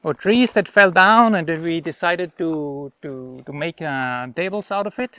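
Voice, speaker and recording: A middle-aged man from Austria, a hotel owner, talking in a relaxed and rambling way with restarts while walking his jungle property. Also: on the high side, a little slow. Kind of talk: casual interview on a property tour.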